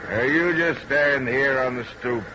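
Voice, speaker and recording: An actor playing a strange, proud and stern old-time buggy driver in a radio drama, speaking deliberately and matter-of-factly. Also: male voice, mid-range voice, close to an everyday voice. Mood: curt, composed. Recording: muffled, noisy old radio recording.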